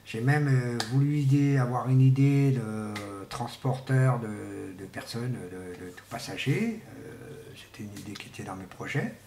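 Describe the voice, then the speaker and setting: A man giving an informal, rambling monologue to viewers, speaking haltingly and often repeating words and restarting. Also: medium pitch, expressive, warm tone. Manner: often clears throat. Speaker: vlogger. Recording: echoey room with faint background noise.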